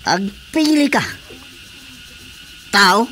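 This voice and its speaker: An actor with an Ilocano accent playing an angry, jealous husband, confrontational, in a radio drama. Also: male voice, sits high, lively intonation, very slow, a little hiss or hum, heavily acted. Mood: indignant. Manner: forceful, confrontational.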